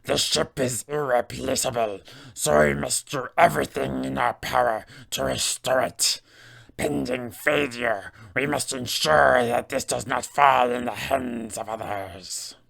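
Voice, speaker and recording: Slow, gravelly alien mind flayer. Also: male voice, mid-range voice, steady tone, fairly bright tone, heavily acted. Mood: grave, measured. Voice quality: gravelly, creaky. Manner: slow, stilted, formal speech. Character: cold alien gnome mind flayer, formal and ominous. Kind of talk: game villain roleplay monologue.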